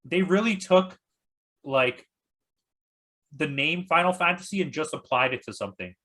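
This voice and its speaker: A man's voice: a little high, lively intonation, normal speed, fairly bright tone. A man in his thirties chatting casually as a podcast host, blunt and opinionated, swearing freely. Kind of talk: casual chat.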